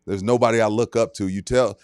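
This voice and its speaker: A man's voice: lowish voice, steady tone, a little fast, darkish tone, smooth, no room sound, studio quality. A man co-hosting a sports podcast, blunt and profane, often mocking players by quoting them. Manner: blunt, profane, mocks players in quoted voices. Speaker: sports talk podcast co-host. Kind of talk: casual sports chat.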